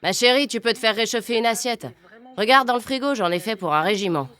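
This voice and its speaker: Woman playing a bright-voiced mother. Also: on the high side, quick pace, soft background music, close to an everyday voice. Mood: affectionate, teasing. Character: affectionate, teasing mother of a teenager. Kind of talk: audio drama dialogue.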